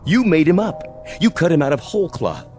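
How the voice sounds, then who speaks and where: A man's voice: on the high side, sing-song, normal speed, a little mellow. An actor playing a cocky, mocking army major in a radio drama, sarcastic and theatrical in his taunts. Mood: taunting. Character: cocky, mocking military major, a swaggering intruder. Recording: radio drama with music underneath.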